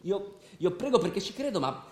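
Stage drama: frank, practical believer, slightly high voice, instructive, heavy echo. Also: male voice, very animated, moderate pace. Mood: frank, emphatic. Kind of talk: theatrical radio drama dialogue. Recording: very echoey stage, faint rumbling noise.

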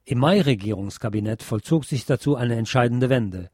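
A man's voice: medium pitch, moderate pace, a little mellow, husky, dry recording, studio quality. A man reading a history book aloud as scripted narration for a podcast.

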